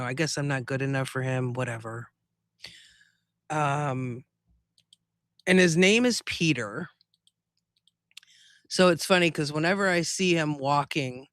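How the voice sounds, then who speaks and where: A man's voice: fairly high pitch, fairly bright tone, clean tone, no echo, clean studio sound. A man hosting a live stream in a casual, chatty style, telling things in a rambling, conversational way.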